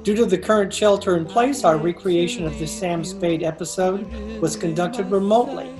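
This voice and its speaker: A man's voice: high voice, normal speed. A man reading a scripted credits announcement as a radio-play announcer, recorded remotely from home. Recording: home video-call recording with music behind. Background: instrumental music.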